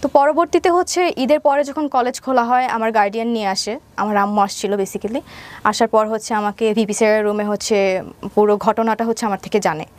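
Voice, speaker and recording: A woman's voice: fairly high pitch, steady tone, brisk. A young woman with a Bangladeshi accent, giving a personal account as an interview guest and mixing in English words. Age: young adult. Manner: mixes English words into her speech.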